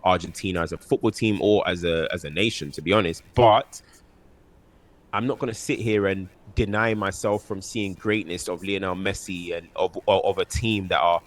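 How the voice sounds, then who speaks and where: Low-voiced man. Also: steady tone, moderate pace. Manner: emphatic, mocking repeated phrases. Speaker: co-host. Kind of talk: casual football chat.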